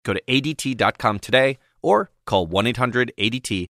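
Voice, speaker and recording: A man reading a scripted commercial in a smooth, polished way. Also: mid-range voice, expressive, normal speed, a bit bright, dry recording, clean studio sound. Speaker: ad announcer.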